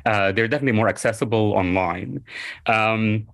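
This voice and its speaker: Low-voiced man on a video call. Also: moderate pace, creaky, fairly bright tone. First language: Arabic. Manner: rambling, many fillers and self-corrections, chuckles. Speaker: historian guest. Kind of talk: conversational interview answer. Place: home room by a window.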